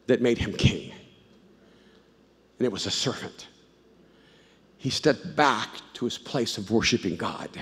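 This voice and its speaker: A middle-aged man from El Salvador preaching a sermon, swinging between loud emphatic bursts and low, intimate asides, and telling stories with frequent restarts. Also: breathy edge. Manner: conversational storytelling with repeated restarts. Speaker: pastor. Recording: long hall reverb.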